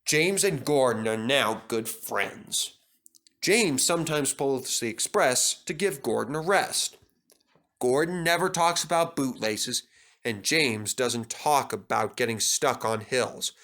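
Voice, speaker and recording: A man narrating a children's story from a script in an expressive, dramatic storytelling manner. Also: mid-range voice, takes it slowly. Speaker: storybook reader.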